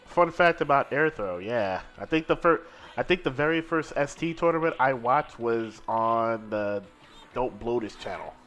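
A man co-hosting a live fighting-game stream, commentating matches and reading chat aloud, excitable and quick to laugh. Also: medium pitch, soft background music. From Seattle.

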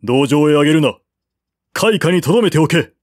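Audiobook role: stern old warlord father performed by a man, commanding, scolding, raised high and forceful. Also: animated, moderate pace, dry recording, clean studio sound, close to an everyday voice.

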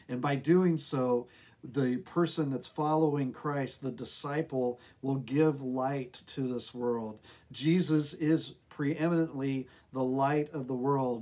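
Middle-aged American pastor giving a devotional. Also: male voice, medium pitch, darkish tone. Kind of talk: devotional talk to camera. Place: small office with bookshelves, indoors.

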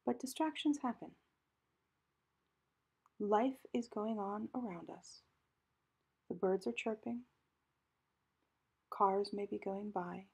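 A woman leading a guided meditation in a calm, gentle, measured manner, with a soft, breathy voice that often trails into a whisper. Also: mid-range voice.

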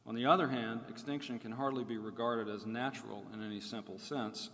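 A man delivers an academic keynote in a formal, measured manner, reading from a prepared text. His voice sits at a medium pitch. Long reverb from the hall trails his words. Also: steady tone, fairly bright tone. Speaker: keynote speaker. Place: large indoor room at a conference.

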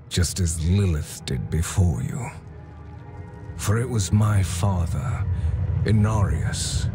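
A man playing a weary, grave ancient prophet in a game cutscene, telling his tale in a solemn, measured manner. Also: low voice. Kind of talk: dramatic game cutscene narration.

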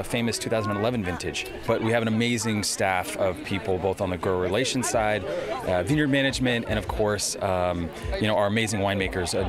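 A man in his forties, a winery executive, answers questions outdoors on a lawn. His voice sits low and he halts often with fillers. Music plays in the background. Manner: halting, many filler sounds. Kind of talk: on-camera interview.

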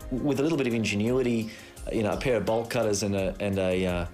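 A man's voice: on the low side, fast talker, a little mellow, soft background music. A man, a journalist, giving casual interview answers with some fillers.

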